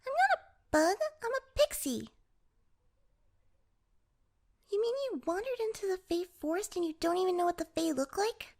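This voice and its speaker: Fantasy ASMR roleplay role: little pixie played by a woman, extremely high voice, flustered and stammering, proud, wary of humans. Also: sing-song, brisk, gravelly, full character voice. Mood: indignant.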